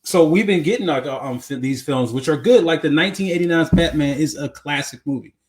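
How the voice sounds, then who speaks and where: A man co-hosting a podcast in casual conversation, talking haltingly with repeats and frequent check-in phrases to the listener. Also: a little high, a little mellow. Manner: halting, repetitive, peppered with "you know what I'm saying". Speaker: podcast co-host. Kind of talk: casual chat about movies.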